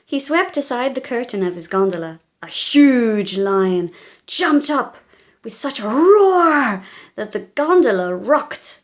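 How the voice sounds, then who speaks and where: A woman reading a children's storybook aloud in a theatrical, expressive way, as if speaking directly to young listeners. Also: on the high side. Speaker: children's audiobook reader.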